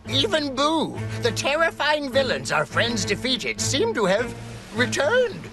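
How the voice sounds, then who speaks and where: A man's voice: very high-pitched, huge pitch swings, a little slow, music in the background, heavily acted, poor audio. A man voicing a fussy elderly god in an anime game, with a folksy, dramatic way of talking. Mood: grave, worried. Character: fussy, elderly male god watching over the world. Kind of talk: English anime game dub acting.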